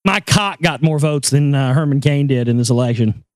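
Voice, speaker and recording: A man with a high voice hosting a casual talk show, joking crudely and rambling over news items. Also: lively intonation, moderate pace, no room sound, studio quality. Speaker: show host.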